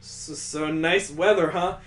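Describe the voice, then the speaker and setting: A man voicing an awkward, nervous young man from a visual novel, babbling and stammering haltingly. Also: fairly high pitch, fairly even intonation. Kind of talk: visual novel character reading. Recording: echoey, roomy sound.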